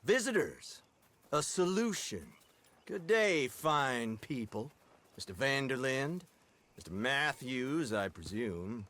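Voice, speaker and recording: An actor playing a smug, self-righteous detective in a Western game, pompous and sneeringly polite. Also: male voice, mid-range voice, very animated, slow pace, bright, forceful tone, full character voice. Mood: smug, menacing. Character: smug, self-righteous male Pinkerton lawman of the Old West.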